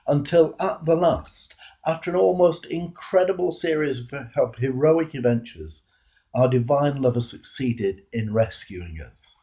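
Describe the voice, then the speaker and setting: An older man with a British accent, a clergyman reading a homily aloud in a measured, earnest way with deliberate pauses and emphasis. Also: mid-range voice, warm tone.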